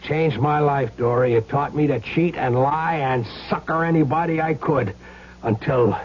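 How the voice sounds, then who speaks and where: An actor playing a troubled young husband and former street hustler, brooding and emotional, in a 1970s radio drama. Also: male voice, a little high, normal speed, lightly acted. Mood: bitter, confessional. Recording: muffled, hissy old radio recording with hum.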